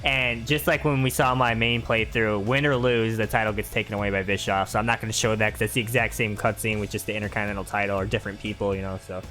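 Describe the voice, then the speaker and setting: A man's voice: on the low side, flat delivery, fast talker, few pauses, bright, forceful tone, soft background music. A man giving casual, chatty, explanatory commentary over a video game walkthrough.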